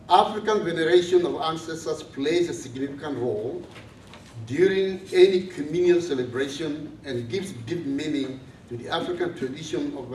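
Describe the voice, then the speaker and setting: A priest from South Sudan, giving a measured, earnest talk to a student gathering. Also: male voice, fairly high pitch, a little mellow, slight background noise. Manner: slow, measured, earnest speaking. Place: large room on a college campus. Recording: echoey room from far off.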